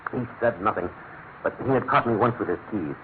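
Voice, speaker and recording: A man acts out a disgraced British colonel in an old radio play, agitated and pleading. His speech is quick and swings widely in pitch, heard through a tinny, faintly hissy broadcast. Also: lively intonation, close to an everyday voice. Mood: remorseful confessing. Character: disgraced English colonel, a desperate, frightened gentleman traitor. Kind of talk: old-fashioned British radio drama acting.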